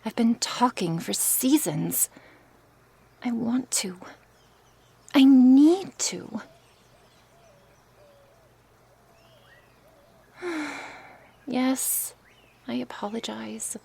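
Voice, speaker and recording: A woman playing a gentle, curious, coaxing snake woman in an intimate ASMR roleplay, speaking softly and hesitantly in a breathy, often whispered voice. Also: a little high, animated, full character voice. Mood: apologetic, hesitant. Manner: slow, hesitant, soft-spoken with sighs and pauses. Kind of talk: ASMR roleplay, intimate one-sided dialogue.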